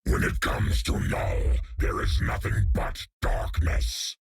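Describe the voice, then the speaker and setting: An actor playing a menacing alien symbiote that hungers for power and calls itself we, in a video game. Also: male voice, slow, no room sound, close to an everyday voice. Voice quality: gravelly, growling. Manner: speaks of itself as we.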